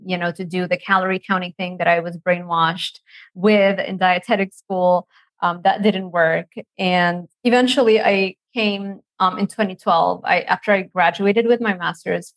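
A woman's voice: lowish voice, fairly bright tone, no echo. A woman in her thirties from Lebanon, a nutrition professor telling her story as an interview guest, chatty, with frequent laughs and restarts. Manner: chatty, laughs often, many fillers and restarts.

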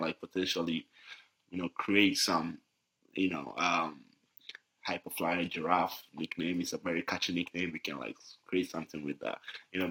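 Young ghana-born guy, low voice, bright tone, relaxed podcast guest. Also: poor audio. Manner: easygoing, many fillers, frequent laughs. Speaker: guest, jiu-jitsu athlete. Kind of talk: casual podcast interview.

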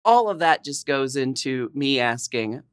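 A woman's voice: a bass voice, lively intonation, a little slow, smooth. A woman hosting a podcast interview in casual chat, laughing often and restarting her sentences. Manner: giggly, frequent laughs and restarts. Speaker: podcast host.

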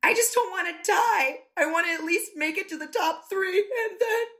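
An actor playing a suspicious, foul-mouthed, panicky drag queen in a campy comedic radio play, shrill and indignant. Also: moderate pace, sharp tone, exaggerated voice.

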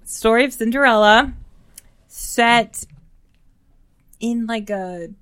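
A woman's voice: a little high, slow pace, a bit bright. An American woman co-hosting a podcast, chatting casually about books in informal speech full of "like". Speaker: podcast co-host discussing upcoming books. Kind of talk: casual book chat.

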